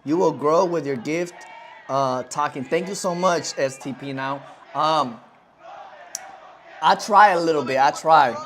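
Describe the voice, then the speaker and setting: A man's voice: fairly high pitch, a little slow, fairly bright tone, some chatter behind, a little hiss or hum. Rambling male livestream host. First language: Spanish. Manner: loose and rambling, keeps addressing the chat, lots of fillers. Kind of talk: casual livestream chat.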